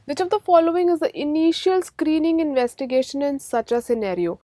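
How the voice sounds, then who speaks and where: A woman's voice: sits high, brisk, warm tone, dry recording, clean studio sound. A woman with an Indian accent teaching a medical exam-prep lecture, emphatically repeating key points and checking understanding with questions.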